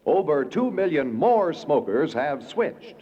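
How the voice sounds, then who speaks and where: A man reading a 1940s radio advertisement with emphatic, deliberate phrasing. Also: on the high side, big pitch swings, dark tone, slightly rough. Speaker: commercial announcer. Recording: dull 1940s radio recording.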